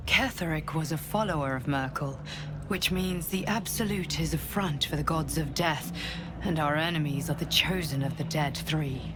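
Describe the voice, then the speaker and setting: A woman playing a solemn, commanding guardian in a fantasy video game, speaking gravely and earnestly over music. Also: sits low, background noise. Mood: grave, analytical. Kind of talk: dramatic video game acting.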